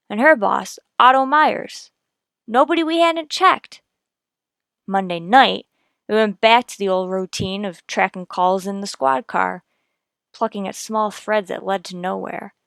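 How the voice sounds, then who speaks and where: An actress plays a dogged female police detective telling her case for a radio procedural. Her voice is smooth and clean, recorded dry. She keeps it terse, businesslike and matter-of-fact. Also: medium pitch, a bit bright, dry recording. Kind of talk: clipped police-procedural radio drama narration.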